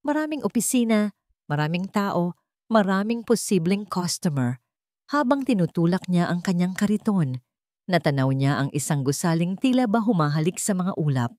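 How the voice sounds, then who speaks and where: A woman's voice: fairly low pitch, sing-song, normal speed, a little mellow, no echo, clean studio sound. A woman with a Filipino accent narrating a scripted story in a dramatic storytelling style.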